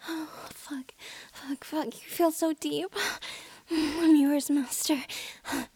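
A young adult woman performing an intimate audio roleplay, whispering softly with breathy sighs and a pleading, submissive delivery. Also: high-pitched, fairly even intonation, slow pace, no room sound.

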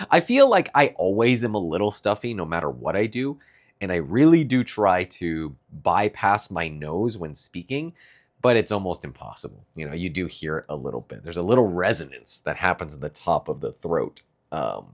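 A man's voice: deep voice, very animated, moderate pace. A young man with a stuffy nose giving a casual, rambling morning check-in, laughing often between thoughts. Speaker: streamer.

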